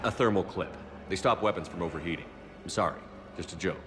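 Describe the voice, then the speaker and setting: An actor playing a steady human soldier commander in a video game cutscene, speaking in a calm, dry, deadpan way. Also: male voice, sits low, quick pace, music in the background, natural voice.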